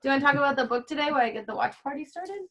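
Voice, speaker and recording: A woman's voice: high voice, expressive, racing, a bit bright. A woman hosting a live read-along, chatting casually and playfully and reading a storybook aloud.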